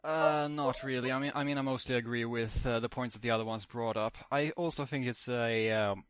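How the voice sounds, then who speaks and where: A man's voice: mid-range voice, steady tone, fairly quick, fairly bright tone, clear, resonant tone, dry recording. A man talking casually as a podcast guest, jokey and a little hesitant. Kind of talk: casual panel chat.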